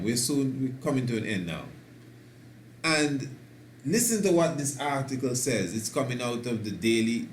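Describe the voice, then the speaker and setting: A man speaks slowly and deliberately, leaning hard on each point and climbing to excited peaks. His voice rings in a roomy, echoey space with a faint hiss of noise behind it. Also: medium pitch. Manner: slow, emphatic, rises to excited declarations. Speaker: host. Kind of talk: preaching talk.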